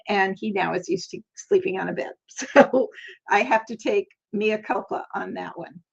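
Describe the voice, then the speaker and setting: An older woman hosting a casual crafting tutorial, chatty and friendly, laughing often as she talks. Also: medium pitch. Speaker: crafting channel host. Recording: slightly dull lo-fi sound.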